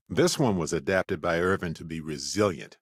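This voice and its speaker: Chatty wry space explorer for a video game, friendly, dry close sound, pitch moves around a lot. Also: male voice, unhurried, slightly rough, no echo, full character voice. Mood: light, amused. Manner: expressive, wry, folksy. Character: affable, witty, talkative adult male space explorer. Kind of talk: scripted video game character dialogue.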